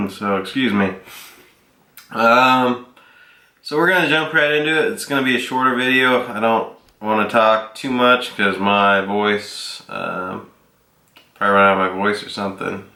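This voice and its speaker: Congested young male vlogger, lowish voice, loose and rambling Q&A delivery. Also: measured pace, pauses often. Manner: relaxed, rambling with frequent ums and coughs. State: sick, congested and sore-throated. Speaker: powerlifter vlogger answering viewer questions. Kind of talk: casual Q and A vlog. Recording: echoey room.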